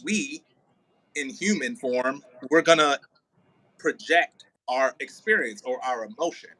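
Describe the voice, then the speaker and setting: Male drama director, bright clear-cut tone, informal rehearsal coaching with dramatic demonstrations. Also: mid-range voice, poor audio. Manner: expressive, demonstrates lines dramatically. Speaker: director of an audio play. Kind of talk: coaching an actor in rehearsal, casual. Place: indoors near a window, on a remote call.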